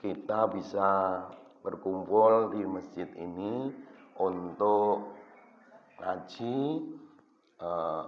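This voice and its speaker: Deep-voiced man, echoey prayer room. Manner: measured, halting delivery with frequent pauses. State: has a cough and cold. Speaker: religious teacher. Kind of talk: Islamic study sermon. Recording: echoey room, dull lo-fi sound, faint noise.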